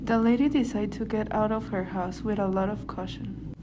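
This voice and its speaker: A woman's voice: medium pitch, fairly even intonation, moderate pace. Woman, scripted story narration, radio drama, mid-range voice, noisy amateur recording.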